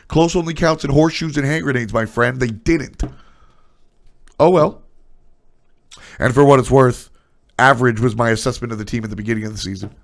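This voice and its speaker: A man's voice: mid-range voice, creaky. A man with a stuffy, nasal voice streaming casual, blunt opinions on esports, trailing off into asides.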